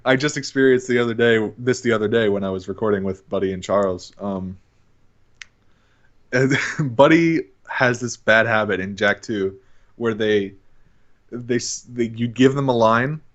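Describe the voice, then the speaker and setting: Young man, lowish hesitant voice. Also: moderate pace, lo-fi sound. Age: young adult. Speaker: guest amateur voice actor on a podcast. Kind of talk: casual chat.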